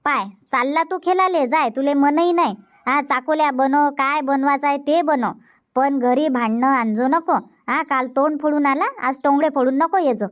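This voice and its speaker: Cartoon granny, acted out: high voice, blunt and scolding, Varhadi Marathi accent, muffled lo-fi sound. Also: normal speed, a bit bright. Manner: nagging, threatening, blunt. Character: scolding, stern old village grandmother. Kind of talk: cartoon comedy dialogue. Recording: dull, muffled lo-fi audio.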